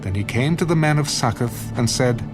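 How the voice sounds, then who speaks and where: A man giving solemn, measured narration of scripture. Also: mid-range voice, lively intonation. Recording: loud background music.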